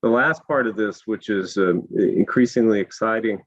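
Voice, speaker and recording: A slightly hoarse man speaking as a panelist in a discussion, measured and full of fillers. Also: lowish voice, no echo, dull audio.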